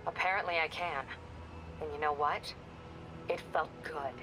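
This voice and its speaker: A woman's voice: deep voice, steady tone, a little slow, poor audio. An actress playing a stern, stubborn female ex-police captain turned vigilante who speaks in a cool, controlled, determined way in a video game cutscene. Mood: cold. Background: game score music.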